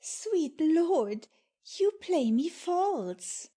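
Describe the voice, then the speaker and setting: A woman's voice: very high voice, big pitch swings, very slow, warm tone, full character voice. A woman playing an innocent, loving young maiden full of wonder in a dramatic Shakespeare audiobook, tender and wide-eyed. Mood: playful, loving.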